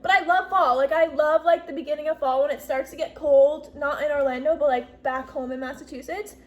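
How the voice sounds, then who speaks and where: Bubbly high-voiced young woman. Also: fairly even intonation, a little fast. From US Northeast. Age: 20s. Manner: bubbly, chatty, heavy use of "like" and "literally". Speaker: YouTuber. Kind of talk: casual Q&A vlog.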